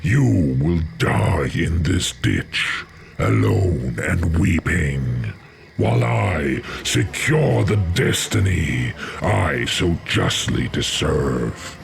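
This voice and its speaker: Game villain: cruel, scheming orc warlock, low-pitched and menacing, drawn-out delivery with big swings in tone. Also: male voice, very animated, heavily acted. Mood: cruel, gloating. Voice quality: gravelly. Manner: slow, menacing, mockingly polite. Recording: loud music under the voice.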